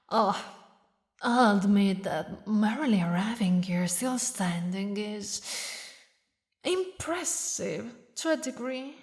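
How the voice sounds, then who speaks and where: A woman voicing a haughty, mocking demon queen who mourns a lost lover, speaking in slow, theatrical, regal phrases for an audio roleplay. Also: mid-range voice, expressive, a little mellow. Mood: amused, taunting. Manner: slow, taunting, regal, with dramatic pauses. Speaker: villainous ruler addressing a knight.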